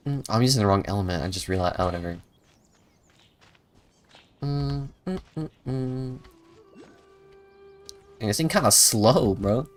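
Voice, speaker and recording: A man's voice: on the low side, a little hiss or hum. A young man doing casual, slangy live game commentary, rambling and muttering to himself. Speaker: game streamer. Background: video game music.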